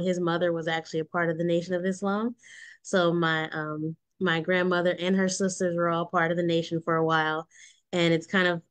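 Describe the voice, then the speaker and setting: A woman's voice: fairly low pitch, smooth, no echo. A young woman from Houston, Texas, chatting as a guest on a video call, telling her family story with easy laughs. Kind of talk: casual video call chat. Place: indoor room. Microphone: video call, computer mic.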